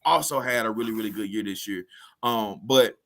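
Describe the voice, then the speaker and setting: A slightly hoarse man co-hosting a casual sports podcast, loose and repetitive, full of "you know what I mean.". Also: fairly low pitch, a little fast, pushed and bright.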